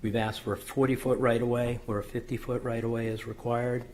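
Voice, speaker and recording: A man presenting a subdivision plan at a public board meeting, methodical and technical with frequent ums and self-corrections, his voice slightly hoarse. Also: medium pitch, flat delivery, a little fast, a little mellow, slight background noise. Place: city council chamber.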